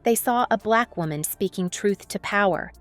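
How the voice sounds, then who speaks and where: A woman's voice: medium pitch, normal speed, faint music behind. A woman delivering a dramatic, scripted sports news narration.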